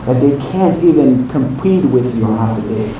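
A man gives a storytelling sermon, throwing out rhetorical questions and then sinking into quiet asides. He sounds distant from the microphone, and the room rings with heavy echo. Vehicle noise is clearly audible underneath. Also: medium pitch, normal speed. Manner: animated, swings from loud questions to quiet asides. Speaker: religious speaker addressing young listeners. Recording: very echoey room, far from mic, vehicle noise.

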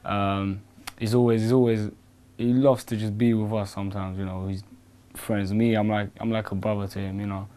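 A young man with a lowish, mellow voice talks about his friend in a relaxed way. His speech is full of fillers like "you know" and "basically". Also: moderate pace. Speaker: interviewee, friend of protester. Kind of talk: casual interview.

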